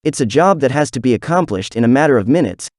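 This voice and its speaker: A man's voice: medium pitch, animated, fast, a little mellow, no echo, studio quality. A man reading a scripted practice passage aloud. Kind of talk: scripted read-aloud narration for exam practice.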